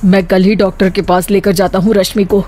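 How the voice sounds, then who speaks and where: A young husband in a cartoon who stays calm and puzzled, voiced by a woman. The voice sits at a middling pitch. Soft music plays behind it. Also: brisk, lightly acted.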